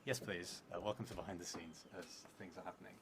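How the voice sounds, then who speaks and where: A man's voice: low-pitched, steady tone. A man in his thirties thinking aloud in a casual, hesitant way as he explains a solo tabletop game on a live stream. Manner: hesitant, halting. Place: indoor theatre room, seated at a table. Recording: clearly noisy live stream room.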